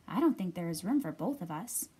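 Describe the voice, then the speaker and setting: A woman's voice: on the low side, sing-song, a little fast, warm tone, breathy edge, pitched below the performer's own voice, heavily acted. A woman voicing a chirpy, apologetic storybook rabbit in a twittering manner.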